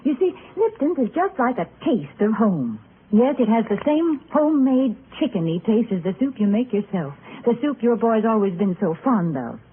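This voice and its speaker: A woman announcer delivering a wholesome, persuasive sales pitch in 1940s radio advertising style. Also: big pitch swings, moderate pace, narrow, tinny audio. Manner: wholesome, persuasive, clearly enunciated sales talk. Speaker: commercial announcer and co-host. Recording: thin, hissy vintage radio broadcast.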